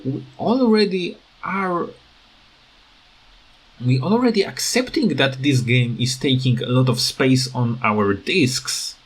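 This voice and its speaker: A man who speaks English as a second language, with a foreign accent, giving rambling, halting, unscripted commentary as a gaming video creator. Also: medium pitch, animated, breathy edge, rough recording. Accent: non-native English. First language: not English. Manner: hesitant, halting, repeats words.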